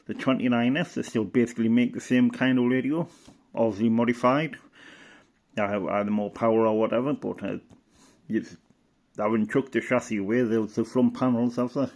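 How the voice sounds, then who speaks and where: A man's voice: medium pitch, fairly even intonation, fairly quick, darkish tone. A middle-aged man giving a casual, rambling show-and-tell as a hobbyist, hesitant and thinking aloud. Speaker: radio hobbyist presenter.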